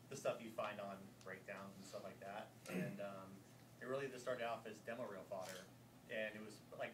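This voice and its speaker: Actor guy on a panel, candid, slightly low voice, echoey room, far mic. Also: background noise. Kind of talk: casual panel answer.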